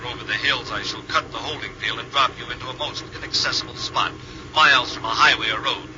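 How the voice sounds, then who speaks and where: A man's voice: mid-range voice, fast, lightly acted. Male villain played by an actor, commanding, menacing gang boss, 1950s radio acting, muffled noisy old recording. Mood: gloating threat. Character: scheming, murderous male villain, coldly confident gang boss.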